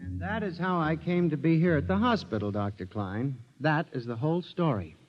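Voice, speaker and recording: Man doing a frantic asylum patient, pleading, high-pitched voice, lively swings, dull old radio sound. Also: moderate pace, over loud music, close to an everyday voice. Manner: earnest, pleading, rising to frantic. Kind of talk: 1950s radio drama acting.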